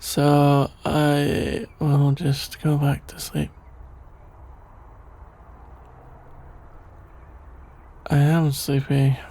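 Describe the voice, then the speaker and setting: A man playing a shy, sleepy young best friend in an ASMR roleplay, stammering, hesitant and evasive in a creaky, drowsy voice. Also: a little high, completely flat delivery, slow pace, warm tone, heavily acted.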